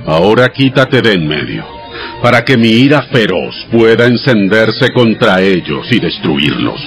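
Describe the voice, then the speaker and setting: Slow, wrathful, commanding God. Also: male voice, lowish voice, sing-song, faint music behind. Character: God, stern, wrathful, commanding male deity. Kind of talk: dramatized audio Bible acting.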